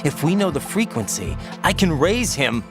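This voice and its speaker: A man's voice: a little high, sing-song, moderate pace, music in the background. An actor playing an earnest rookie special-forces man in a video game, reporting in an alert, plain-spoken, questioning way. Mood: focused, uncertain. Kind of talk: video game radio-briefing acting.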